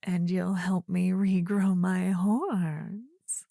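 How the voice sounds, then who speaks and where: Whispering, very slow demon queen played by a woman. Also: fairly low pitch, steady tone, warm tone, no room sound, clean studio sound, exaggerated voice. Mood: soothing, devoted. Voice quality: breathy, husky whisper. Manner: slow, soothing, intimate, formal archaic phrasing. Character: possessive, adoring demon queen, tender yet menacing female lover. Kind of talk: whispered ASMR fantasy roleplay. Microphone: close ASMR microphone, dry.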